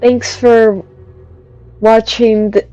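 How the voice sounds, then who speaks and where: A woman's voice: a little high, flat delivery, extremely slow, warm tone, muffled recording. A woman streamer giving casual, musing commentary as she plays through a video game. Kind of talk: casual live playthrough commentary. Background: game music.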